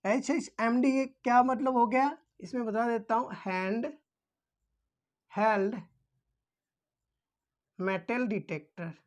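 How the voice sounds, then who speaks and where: A woman's voice: on the high side, slow, pauses often. A woman explaining things as a teacher, repeating key terms as if dictating them. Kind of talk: explanatory lesson for security guards.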